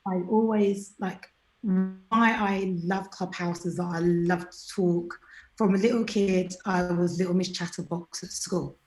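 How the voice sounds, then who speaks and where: A woman's voice: fairly low pitch, unhurried, roomy sound, soft background music, low-quality recording. A woman as an interview guest, talking candidly and animatedly, sometimes acting out what other people said to her. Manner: chatty, animated, acts out quoted people.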